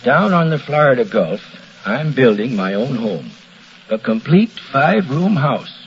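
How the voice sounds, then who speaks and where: A contented middle-aged American husband gives a 1940s radio testimonial. His medium-pitched voice moves around a lot, and the old recording is muffled with faint hiss. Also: sing-song, measured pace. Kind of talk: 1940s radio commercial acting.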